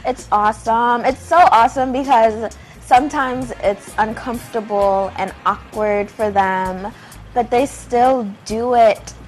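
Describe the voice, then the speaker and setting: A woman's voice: on the high side, steady tone, a little slow, faint music behind. A woman speaking casually and conversationally as a guest in an interview.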